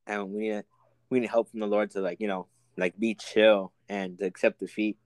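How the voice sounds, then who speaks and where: A young man co-hosting a casual podcast, easygoing and quick to laugh, talking with lots of fillers. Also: on the low side, flat delivery, darkish tone, no room sound. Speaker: podcast co-host. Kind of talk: casual chat.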